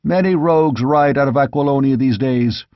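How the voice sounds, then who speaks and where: A man's voice: medium pitch, moderate pace, no echo, highs cut off, close to an everyday voice. A man voicing a stern, proud knight captain with a cold, commanding manner in a fantasy audiobook. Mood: cold, defiant.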